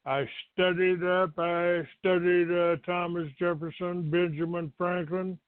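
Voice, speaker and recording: An older American man from Texas hosting a talk show, giving a rambling, halting monologue to his listeners. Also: sits high, level tone, clear, resonant tone. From Texas, United States. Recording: roomy, tinny phone-like line.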